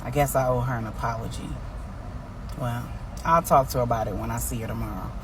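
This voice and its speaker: A woman's voice: very deep voice, steady tone, a little fast, noisy background, pitched below the performer's own voice. A woman voicing a bold, impulsive female crime family boss in a story, with slangy, assertive talk. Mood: contrite.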